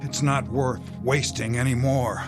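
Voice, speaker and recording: A man's voice: mid-range voice, flat delivery, a little slow, loud music behind the voice, lightly acted. A man playing a weary, conscientious army captain in a radio drama, with an authoritative, brooding manner. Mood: urgent, fervent. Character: weary, conscientious army captain commanding a front-line outpost. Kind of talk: modern radio drama acting.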